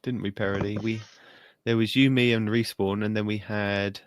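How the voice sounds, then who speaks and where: A man's voice: lowish voice, steady tone, moderate pace, no room sound. A man co-hosting a gaming podcast, bantering casually in a teasing way. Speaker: podcast co-host.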